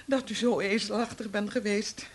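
A woman's voice: mid-range voice, steady tone, moderate pace, breathy edge, close to an everyday voice. An actress playing an overwrought, sarcastic wife and desperate mother in a Dutch radio play. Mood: bitter, mocking. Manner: sarcastic, taunting, emotional.

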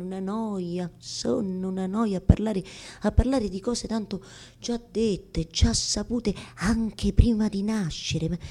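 A woman's voice: medium pitch, dark tone, husky. Anguished, blunt woman confronting God. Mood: bored, weary. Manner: halting, repeating words and phrases insistently. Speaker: stage actress in a monologue. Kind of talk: theatrical radio monologue, confessional.

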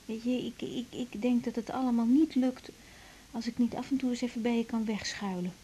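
A woman's voice: fairly high pitch, steady tone, a little fast, dark tone, breathy edge. An actress playing a troubled young woman doctor, anxious yet affectionate, in an intimate, naturalistic 1980s radio drama. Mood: confiding, wry. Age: young adult. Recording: 1980s radio drama, faint background noise.

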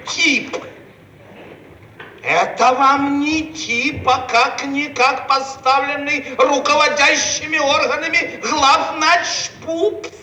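High-voiced, pompous Soviet bureaucrat. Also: male voice, sharp tone, heavily acted. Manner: pompous, hesitant, bureaucratic phrasing. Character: pompous, self-satisfied male Soviet bureaucrat, offended and officious. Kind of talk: theatrical Soviet radio comedy acting. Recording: echoey, distant archive radio recording, faint noise.

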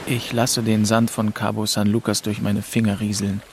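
A man's voice: fairly low pitch, little pitch movement, normal speed, a little hiss or hum, natural voice. A man acting a melancholy, drifting twenty-two-year-old who narrates his travels introspectively in a literary radio drama. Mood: brooding, reflective. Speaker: narrator and lead actor. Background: music under the voice.

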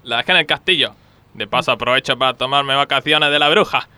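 A man's voice: mid-range voice, quick pace, sharp tone, smooth, no echo. A man playing a jovial, colloquial Spanish king in a comic radio play, using breezy slang.

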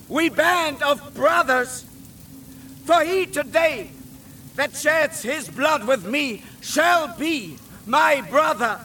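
An actor playing an impassioned English warrior king, declaiming a drawn-out battle speech. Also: extremely high pitch, pushed and bright, a little hiss or hum, exaggerated voice. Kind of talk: Shakespearean battle oration. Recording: distant, with rain and thunder.

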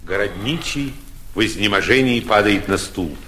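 An actor serving as narrator, reading stage directions in a dramatic 1940s radio play. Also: male voice, fairly low pitch, lively intonation, moderate pace, pushed and bright, slight background noise. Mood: measured. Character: measured male storyteller of a stage play.

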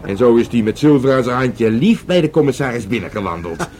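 A man's voice: medium pitch, expressive, a little fast, a little mellow, a little hiss or hum, natural voice. A man acting as a sarcastic, hot-headed police sergeant in a radio play, sputtering with indignation. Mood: indignant.

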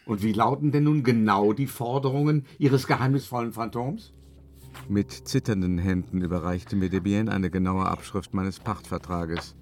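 A self-assured opera house director in a radio play, with a lowish voice. He narrates with composure and argues with incredulous, mocking scorn. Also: darkish tone. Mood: amused scorn. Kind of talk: German radio drama acting.

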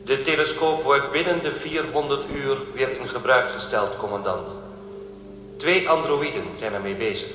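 An actor playing a controlled guardian-angel ship computer in a science fiction radio drama, speaking in a measured, formal, clinical way. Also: male voice, mid-range voice, lightly acted. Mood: formal, composed. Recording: echoey, loud music behind.

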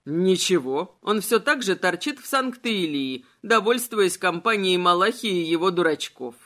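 A woman voicing a cold, manipulative male immortal villain in an audiobook, insinuating and mocking in an unhurried way. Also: lowish voice, animated, a bit bright, voice pushed down. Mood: cool, calculating.

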